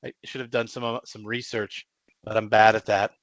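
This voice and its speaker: A man hosting an informal radio interview, speaking in a halting, self-deprecating way. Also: lowish voice, level tone, a bit bright, no room sound, rough recording. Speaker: radio show host.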